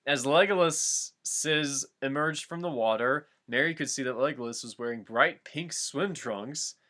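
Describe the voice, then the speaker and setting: A man's voice: mid-range voice, unhurried, pushed and bright. A man doing a comic dramatic reading of fan fiction, amused and breaking off to chat about the text. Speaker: reader and co-host.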